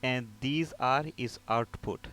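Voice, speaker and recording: A man's voice: mid-range voice, slow, fairly bright tone. A man giving an informal step-by-step technical tutorial, often asking a question and then answering it himself. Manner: explanatory, poses and answers own questions. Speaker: repair technician instructor. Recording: clear background noise.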